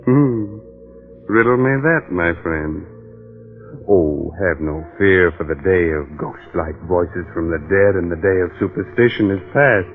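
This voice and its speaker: Slow, sinister radio horror host. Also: male voice, low-pitched, expressive. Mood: sinister, teasing. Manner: insinuating, mock-friendly, theatrical. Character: sinister, teasing male host of a horror mystery. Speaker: mystery show host. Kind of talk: 1940s radio horror narration. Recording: muffled old-time radio recording, music behind.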